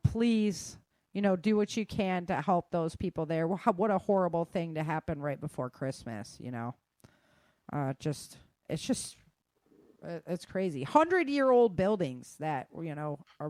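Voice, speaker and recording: A woman's voice: medium pitch, no echo. A woman hosts a podcast in an informal, chatty style. She goes through news items as if talking straight to her listeners. The sound is dry, with very little room on her voice. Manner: conversational, addressing listeners informally. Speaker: podcast host. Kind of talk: casual news roundup on disasters and weather.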